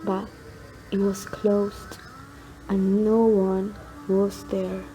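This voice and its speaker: A woman narrates a scripted story at a slow pace. Her voice is mid-pitched, and music plays clearly behind her. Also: level tone, warm tone, poor audio.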